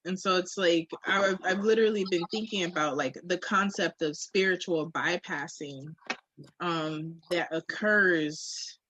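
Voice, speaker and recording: A woman's voice: on the low side, a little slow, bright, forceful tone, slight background noise, rough recording. A woman co-hosting a candid podcast conversation, speaking in a reflective, emphatic and informal way. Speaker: podcast co-host.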